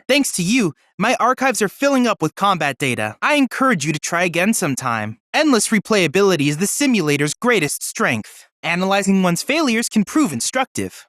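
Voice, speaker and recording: Young android boy researcher, video game dialogue, earnest, precise, polite, animated intonation, close dry sound. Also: medium pitch, dry recording. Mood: pleased, grateful. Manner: formal, precise, courteous, earnest.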